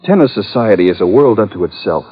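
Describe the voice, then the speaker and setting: Brief: nervous PR man, low and hoarse-edged, fussy and wordy, muffled old radio sound. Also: animated, fast. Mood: formal, earnest. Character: fidgety, fussy, long-winded male public relations man. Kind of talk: 1950s radio drama acting.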